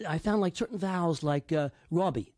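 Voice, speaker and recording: A man with a part-Irish accent speaks as a dialect coach in an interview, halting and restarting as he explains. His voice sits a little high and mellow. The sound is dry and close. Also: no echo.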